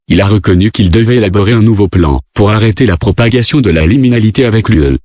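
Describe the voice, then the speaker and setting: A man's voice: on the low side, a little mellow, no room sound, clean studio sound. A man reading a scripted government emergency broadcast in a formal, impersonal way as an announcer.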